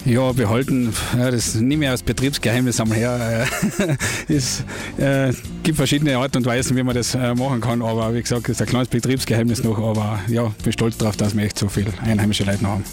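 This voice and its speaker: A man's voice: medium pitch, steady tone, brisk, music in the background. A man with a Carinthian Austrian accent, an innkeeper interviewed on radio, talking easily with many hesitations. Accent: Carinthian Austrian German. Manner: easygoing, hesitant with fillers. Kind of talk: casual interview.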